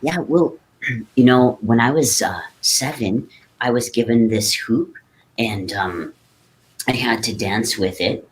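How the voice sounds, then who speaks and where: A man talking in a relaxed, reflective way, sharing the story of his artistic practice as the guest in an interview. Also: medium pitch, slow, a little hiss or hum, low-quality recording. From Great Lakes region, North America. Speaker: guest artist, hoop dancer.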